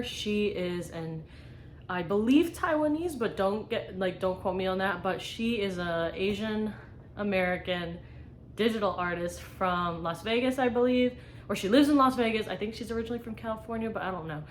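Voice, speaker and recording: A woman's voice: mid-range voice, a little hiss or hum. Casual, rambling young woman vlogger. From New York. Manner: rambling, filler-heavy, casual swearing. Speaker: small business artist. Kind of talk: casual vlog answering tag questions.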